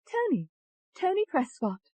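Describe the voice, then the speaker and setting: Brash, high-pitched sultry Englishwoman. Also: measured pace, no echo, exaggerated voice. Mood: defiant, impatient. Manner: lively, impudent, interrupting.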